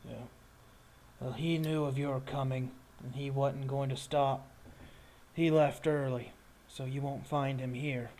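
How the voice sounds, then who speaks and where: A man voicing a measured warrior leader with grudging respect and an understated, hesitant way of talking in a tabletop game. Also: mid-range voice, flat delivery, a little mellow, a little hiss or hum, lightly acted. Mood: measured, guarded.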